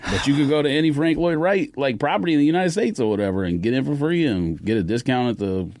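A man co-hosting a podcast in casual, slangy banter, teasing and quick to contradict. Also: medium pitch, darkish tone. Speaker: podcast co-host.

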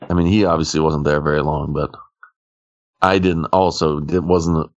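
A man's voice: a bass voice, fairly even intonation, normal speed, muffled recording. A man casually telling a story on a podcast in a laid-back way. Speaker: podcast co-host. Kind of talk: casual chat.

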